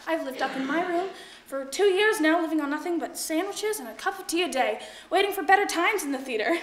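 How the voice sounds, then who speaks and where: A woman's voice: very high voice, lively intonation, fairly quick. A woman acting a chatty, fretful housemaid and disgruntled actress in a theatrical monologue, with dramatic, emphatic, gossipy delivery. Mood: exasperated. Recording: echoey room with faint noise and clicks.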